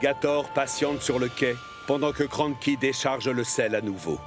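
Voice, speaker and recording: A man narrates a children's animated story in a mid-pitched voice. The scripted storytelling sits over a clearly audible music bed. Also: normal speed.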